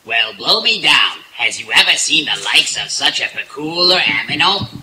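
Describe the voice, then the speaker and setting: A man playing a gruff, good-hearted sailor with a gravelly growl and mangled grammar, in old-time radio comedy style. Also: on the high side, big pitch swings, normal speed, noisy background. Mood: amused, boastful. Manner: salty, ungrammatical sailor slang. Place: outdoor stage in woods. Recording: live outdoor stage, music behind.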